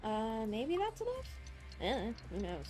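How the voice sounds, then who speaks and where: High-voiced woman streamer, slow-paced. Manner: expressive, emotionally reactive. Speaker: video game streamer. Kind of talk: casual, reactive game playthrough chat. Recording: faint game music behind.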